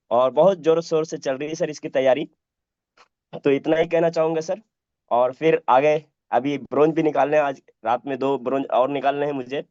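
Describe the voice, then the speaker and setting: Hoarse man. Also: fairly high pitch, darkish tone, dry recording. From Bhagalpur, Bihar, India. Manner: polite, upbeat status report. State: hoarse from a cold. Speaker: team leader. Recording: dry, dull call audio.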